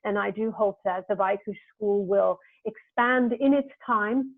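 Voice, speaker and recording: A woman's voice: medium pitch, measured pace, no room sound. A woman hosting a video-call interview, earnest and reflective, speaking in long winding thoughts. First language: English. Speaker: interviewer and host. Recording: dry tinny phone-like video-call audio.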